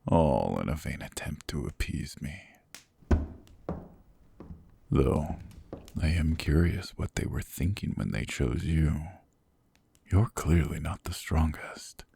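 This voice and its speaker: Guy doing a sadistic demon king, super deep and slow, breathy husky whispers, dry close mic. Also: very animated, dark tone, full character voice. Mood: cold disdain. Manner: slow, mocking, condescending. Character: cold sadistic male demon king, possessive. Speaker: voice actor. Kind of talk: ASMR roleplay audio.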